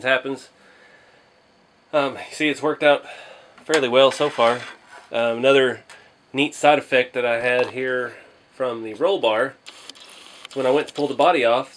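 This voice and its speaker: A man's voice: medium pitch, a bit bright. A man hosting a hobby build video, talking casually and thinking aloud as he works with his hands.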